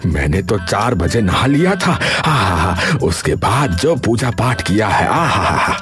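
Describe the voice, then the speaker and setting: A man voicing a jolly, easygoing elderly village father in an animated comedy, drawling and exclaiming with relish. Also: fairly low pitch, slow, over music, voice pushed down. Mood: placid, coaxing. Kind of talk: Hindi animated comedy story voice acting.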